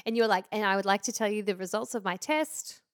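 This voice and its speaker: A woman co-hosting a podcast in casual banter, talking in an expressive, excitable way with plenty of swearing. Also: mid-range voice, fast, no echo, clean studio sound. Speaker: podcast co-host.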